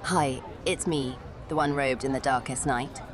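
An actor playing a sheepish LARPer robed in darkness who wants to be cool, in a tongue-in-cheek radio play. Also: male voice, medium pitch, fairly even intonation, a little slow, background noise, close to an everyday voice. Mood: sheepish, hopeful. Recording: loud music and crowd behind.

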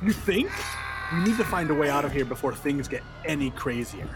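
A high, very lively male voice, a man acting as an exasperated game designer in a comic radio play. He frets and doubts everything, incredulous, with music behind him. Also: fairly quick, poor audio. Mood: panicked. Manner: incredulous, fretful. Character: panicky, exasperated, sceptical game designer sidekick.

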